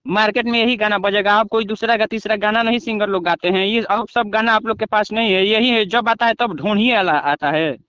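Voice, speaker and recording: A man with a Bhojpuri accent speaks in a high, forceful voice, scolding and pressing his point like a reporter. It is a recorded phone call, dull in sound and very dry. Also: a little fast, clean tone, no room sound. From Buxar, Bihar, India. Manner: argumentative, scolding. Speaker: news reporter. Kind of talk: confrontational phone interview.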